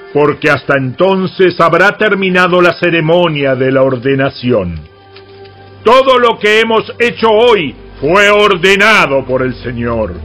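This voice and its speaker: A man acts out a commanding religious leader for an audio Bible drama. His high voice is bright and forceful, delivering solemn instructions at a slow, measured pace over music. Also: full character voice.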